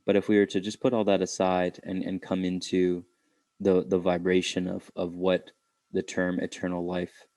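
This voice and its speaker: A man leading an unscripted guided meditation, speaking reflectively in halting phrases with pauses. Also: sits low, completely flat delivery, a little mellow, no echo.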